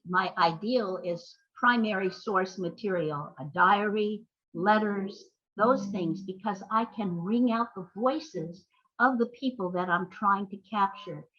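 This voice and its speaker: An older woman with an American accent talks reflectively as a guest in an interview. She is measured and articulate, with a medium-pitched voice. The recording is somewhat dull and lo-fi. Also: a bit bright. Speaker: guest, playwright. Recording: dull, lo-fi sound.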